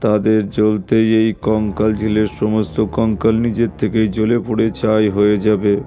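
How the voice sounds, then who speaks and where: A man playing a calm, wise holy man in a horror cartoon, speaking in a measured, formal and reassuring way. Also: on the low side, faint music behind, close to an everyday voice. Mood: wise, instructing. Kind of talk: dramatized horror story for cartoon.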